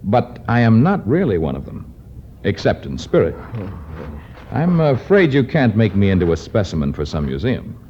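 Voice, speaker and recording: Low dark voice, proud jungle hero, animated delivery, commanding, vintage radio drama. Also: male voice, moderate pace. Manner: commanding, formal, direct. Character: proud, blunt jungle man raised by apes. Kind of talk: 1950s radio adventure acting. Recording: dull, noisy 1950s radio recording.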